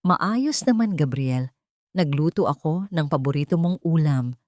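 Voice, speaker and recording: Woman acting a gentle wife, slightly low voice. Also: animated, measured pace, dry recording, clean studio sound. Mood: tender. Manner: tender, soothing, restrained. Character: gentle, loving wife hiding her pain.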